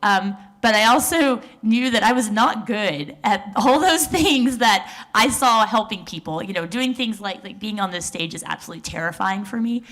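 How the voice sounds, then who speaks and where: A woman's voice: mid-range voice, lively intonation, moderate pace, few pauses, a bit bright. A woman in her forties on a conference panel, telling her story in an earnest, reflective way with small fillers. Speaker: researcher on a panel. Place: conference stage.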